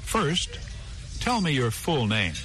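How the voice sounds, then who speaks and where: A man acting a friendly news reporter in a scripted lesson dialogue, speaking clearly and patiently. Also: on the high side, big pitch swings, takes it slowly, a bit bright, full character voice.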